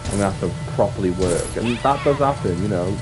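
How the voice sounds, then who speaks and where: A man with a deep voice chatting casually on a livestream, rambling reflectively and often restating his phrases. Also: animated, fairly quick. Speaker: streamer and actor. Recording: music playing behind the voice. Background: clear background music.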